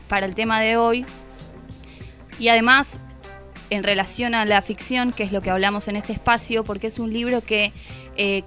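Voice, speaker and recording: A woman's voice: mid-range voice, fairly bright tone. Reflective Argentine woman over background music. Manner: reflective, hesitant, rephrasing as she goes. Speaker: radio book columnist. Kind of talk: conversational book review. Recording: music playing under the voice.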